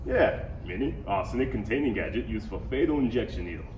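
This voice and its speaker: A man's voice: on the low side, lively intonation, fast talker, a little mellow, lightly acted. A man playing a cool, gadget-proud bounty hunter father in a parody table read. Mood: easygoing, proud. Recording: echoey room, distant mic, faint noise.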